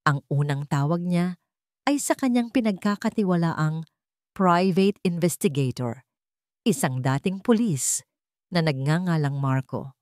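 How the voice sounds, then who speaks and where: A woman narrator reads a scripted drama in a slightly low voice. Her delivery is that of dramatic storytelling. The recording is dry and close, free of room echo. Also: lively intonation, a little slow, darkish tone.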